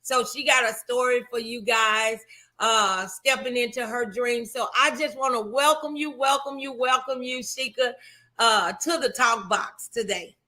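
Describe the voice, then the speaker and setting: A woman's voice: a little high, unhurried, sharp tone. A woman hosting a morning talk show interview, speaking in an effusive, encouraging way and often repeating her phrases.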